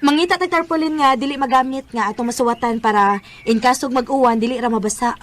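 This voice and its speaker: Good-natured village teenager played by a woman, high voice, hesitant and mild, Cebuano Filipino accent, radio drama style. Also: fast, natural voice. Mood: chatty. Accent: Filipino, Cebuano-speaking. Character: shy, good-natured teenage girl organising village contest. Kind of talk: Bisaya radio drama acting.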